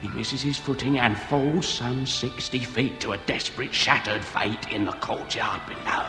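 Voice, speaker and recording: An actor playing a wordy, principled hired burglar, deferential yet stubborn, in a comic period radio drama. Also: male voice, medium pitch, moderate pace, close to an everyday voice. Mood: dramatic. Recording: echoing cathedral-like hall, music behind.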